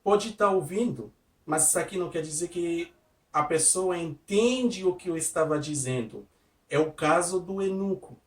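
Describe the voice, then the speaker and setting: A man's voice: high voice, moderate pace. A man whose first language is not Portuguese gives an informal devotional sermon. He uses simple, repetitive phrasing, often restarts his sentences and speaks straight to the listener. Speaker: lay preacher giving a devotional. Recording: echoey room from a distance.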